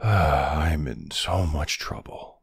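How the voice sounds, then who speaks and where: Man acting as a very deep, gravelly older boyfriend. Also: slow, dry recording, studio quality, heavily acted. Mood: nervous, tender. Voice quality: gravelly, husky. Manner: hesitant, sighing, casual endearments. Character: gruff, protective, tender older man, reluctant lover. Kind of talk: intimate ASMR boyfriend roleplay. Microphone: close, dry microphone.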